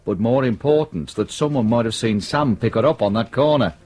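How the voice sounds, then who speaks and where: An actor playing a keen, matter-of-fact British police detective in a radio drama. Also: male voice, mid-range voice, a little fast, darkish tone, close to an everyday voice. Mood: keen, assertive. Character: keen, confident male police detective, no-nonsense.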